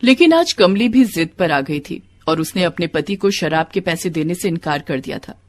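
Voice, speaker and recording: A woman's voice: lowish voice, animated, moderate pace. A woman narrating a scripted devotional story as a storyteller.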